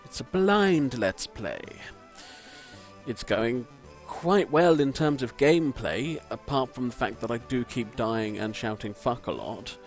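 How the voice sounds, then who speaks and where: A man with a croaky voice, giving rambling, self-deprecating gameplay commentary from a home mic and swearing casually. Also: mid-range voice, lively intonation, measured pace, soft background music. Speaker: let's play commentator. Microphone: home desk microphone.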